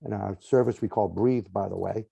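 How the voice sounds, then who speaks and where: A man's voice: on the low side, steady tone, moderate pace, vocal fry, warm tone, breathy edge, dry recording, lo-fi sound. A man with a Boston accent, a guest in an interview explaining home technology in long lists, often ending points with "right?". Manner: explanatory, lists items, frequent "right?" tags. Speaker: smart home company guest. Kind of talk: conversational interview.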